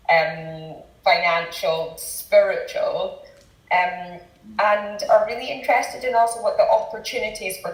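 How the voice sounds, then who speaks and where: A woman with a Scottish accent interviewing a guest on a video call, hesitant with frequent fillers and quick bursts of speech. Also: mid-range voice, echoey room, low-quality recording.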